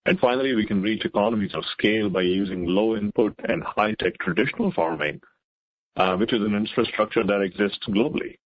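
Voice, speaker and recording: A man's voice: fairly low pitch, brisk, no room sound. A middle-aged man presenting as a chief science officer, reading scripted remarks on an investor conference call. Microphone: conference call line. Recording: slightly dull conference-call audio.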